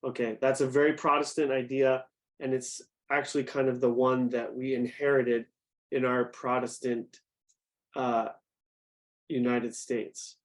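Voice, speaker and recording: A young man teaching a group, speaking in an even, middle-pitched voice. His talk is conversational and easy, and he keeps stopping to put questions to his listeners. He sounds like someone guiding a youth class on a video call. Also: a little slow. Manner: conversational, asks the audience questions. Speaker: youth program director.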